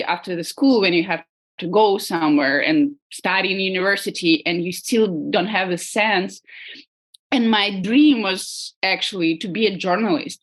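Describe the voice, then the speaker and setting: A woman with a Russian accent, speaking as an artist guest in a casual interview, hesitant with frequent pauses and fillers. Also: lowish voice. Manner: hesitant, many ums and uhs, laughs lightly.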